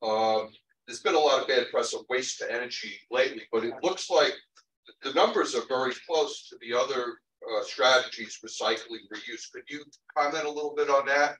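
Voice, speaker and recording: An audience member, a man with a loud voice, asking a panelist a question with some hesitation. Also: medium pitch, normal speed. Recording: roomy distant pickup in a hall.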